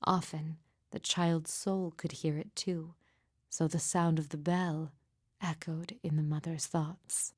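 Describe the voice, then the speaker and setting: A woman playing a grieving, mystical midwife in a fantasy game, speaking in a solemn, formal, measured way with deliberate pauses. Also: low-pitched, dark tone, no echo, clean studio sound. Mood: solemn, reflective. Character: grieving mother, solemn sorrowful mystic midwife with haunted calm. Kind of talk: scripted fantasy game dialogue.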